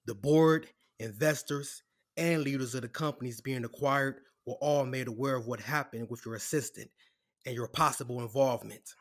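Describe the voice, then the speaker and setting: Man playing measured, formal male attorney. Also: mid-range voice, natural voice. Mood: matter-of-fact. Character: composed, apologetic corporate defense lawyer delivering bad news. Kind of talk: audio drama acting.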